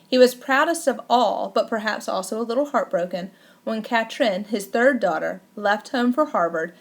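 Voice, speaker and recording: A woman reading a first-person memoir aloud as an audiobook, in a measured, deliberate way with careful emphasis. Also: medium pitch. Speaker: audiobook narrator.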